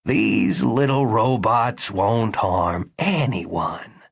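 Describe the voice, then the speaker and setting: A man voicing a gentle hospital doctor who speaks slowly and coaxingly, in an audiobook. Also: high-pitched, huge pitch swings, gravelly, husky, voice pushed up, heavily acted. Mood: soothing. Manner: slow, coaxing, reassuring.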